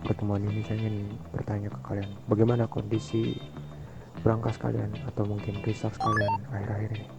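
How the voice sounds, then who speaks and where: A man roleplaying a formal, composed mafia boss in an online game, speaking politely and deliberately. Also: fairly low pitch, completely flat delivery, creaky. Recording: muffled game voice chat with music.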